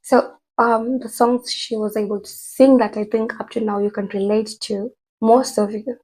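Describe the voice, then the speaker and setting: A woman talking casually to her viewers, chatty and rambling with many fillers, her voice hoarse from a cold. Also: a little high, little pitch movement, normal speed, darkish tone, rough recording. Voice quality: husky, gravelly. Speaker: vlogger. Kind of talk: casual commentary to camera.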